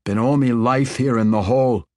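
A man's voice: mid-range voice, steady tone, moderate pace, a little mellow, dry recording, clean studio sound, voice pushed up, natural voice. A man voicing a young male dwarf king who speaks earnestly and loyally in a dwarven brogue. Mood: reluctant.

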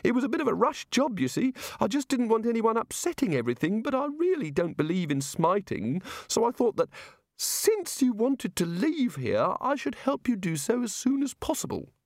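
A man voicing an anxious, absent-minded male god who talks in a high, puzzled, fussy way. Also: very animated, moderate pace, dry recording, studio quality, heavily acted. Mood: fretful.

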